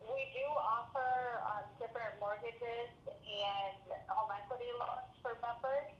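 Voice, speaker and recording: Higher-pitched woman on an echoey call. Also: steady tone, measured pace. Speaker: credit union representative. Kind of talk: answering member questions on a conference call. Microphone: conference call connection. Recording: echoey, muffled webinar call with background noise.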